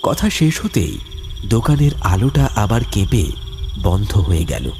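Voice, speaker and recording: A man's voice: fairly low pitch, very animated, unhurried, noisy background. A man narrating a scripted horror story as a dramatic storyteller. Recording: loud music behind the voice.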